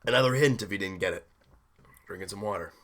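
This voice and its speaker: A young man with a hoarse, roughened voice, excitedly recapping a hockey game in a rambling, self-interrupting way. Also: on the low side, fast. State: hoarse, deep rough voice after a late night. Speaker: hockey fan video host.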